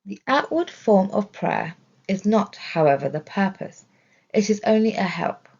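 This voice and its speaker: Woman with creaky voice reading religious lesson. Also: mid-range voice, expressive, a little mellow, muffled recording. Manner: measured, deliberate reading. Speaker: reader of religious study text. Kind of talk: scripted narration, religious lesson.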